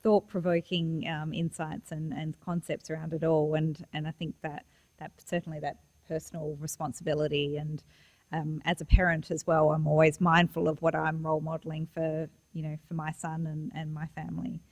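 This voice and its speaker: A woman moderating a Q&A after a lecture, speaking haltingly with many pauses and restarts. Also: low-pitched, completely flat delivery, a little mellow, no echo. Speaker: event moderator. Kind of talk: chairing a Q&A.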